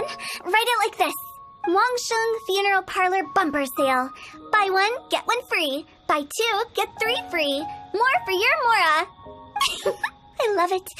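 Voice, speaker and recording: A woman voicing a mischievous young female funeral parlor director in a game, playful and teasing, with spooky jokes and a salesy pitch. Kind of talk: video game voice acting. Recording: game audio with faint music. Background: faint game music.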